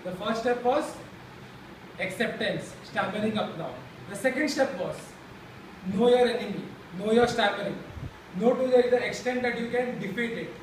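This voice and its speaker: A man's voice: very high voice, moderate pace. A young man who stammers and restarts words, giving a personal speech to a support group in a classroom. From India. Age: young adult. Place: classroom with a chalkboard. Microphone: far camera. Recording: echoey room from far off, background noise.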